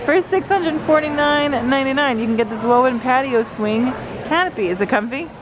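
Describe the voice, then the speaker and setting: A woman's voice: high-pitched, animated, brisk. A woman, chatting casually to viewers as a shopping vlogger, thinking aloud as the items come up. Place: large indoor warehouse store. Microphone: handheld vlog camera close to speaker. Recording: noisy big warehouse store ambience.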